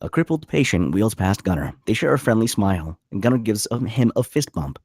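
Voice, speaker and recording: A man's voice: lowish voice, sing-song, brisk, darkish tone, breathy edge, no echo, studio quality. A man reading the stage directions as scripted narration at a screenplay table read.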